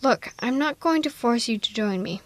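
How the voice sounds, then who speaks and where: A woman's voice: fairly high pitch, expressive, normal speed, dry recording. A woman playing a sardonic but protective villainess in an intimate ASMR audio roleplay, coaxing one listener in a wry, persuasive way. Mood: persuasive, concerned.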